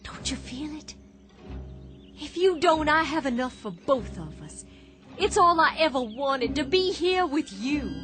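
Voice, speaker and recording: An actress playing a young farm wife in a radio drama, talking emotionally and pleadingly with halting restarts. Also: female voice, high voice, big pitch swings, over music.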